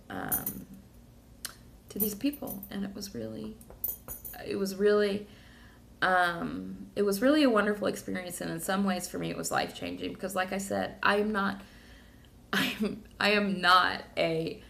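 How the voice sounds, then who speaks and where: A woman sharing a rambling, emotional personal video diary, a little hoarse from talking. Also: medium pitch, a little slow, fairly bright tone. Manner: rambling, emotional, many fillers and restarts. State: slightly hoarse. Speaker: vlogger.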